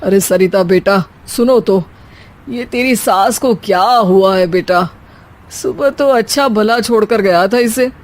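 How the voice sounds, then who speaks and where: Woman acting an exasperated, slow-talking old husband. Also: mid-range voice, lightly acted. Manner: mocking, grumbling, drily teasing. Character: exasperated, sarcastic elderly husband and father-in-law. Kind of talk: comic Hindi family story acting.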